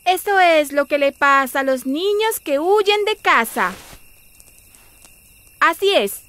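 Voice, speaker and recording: A woman narrating an animated fairy tale as a scripted storyteller. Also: high-pitched, slow pace.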